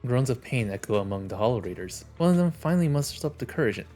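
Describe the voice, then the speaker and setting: A man's voice: medium pitch, big pitch swings, fast, mellow, faint music behind. A man who streams games, reading story narration and dialogue aloud on a livestream.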